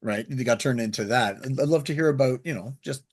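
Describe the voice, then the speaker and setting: A man's voice: medium pitch, fast, slightly rough. A man hosting a podcast interview in a casual, chatty style. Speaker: podcast host. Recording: echoey room sound.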